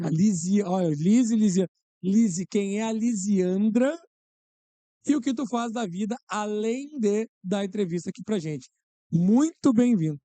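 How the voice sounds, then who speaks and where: This is a man hosting a podcast. His voice is very dark and mellow, recorded dry and close. He opens grandly and jokes about himself. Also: high voice, no echo. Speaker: podcast host. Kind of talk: theatrical show host introduction.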